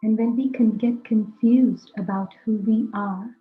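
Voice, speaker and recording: A woman teaches guided meditation at a very slow pace, in short, measured phrases. Her voice carries a roomy echo, and music plays behind her. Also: fairly high pitch, fairly even intonation, narrow, tinny audio.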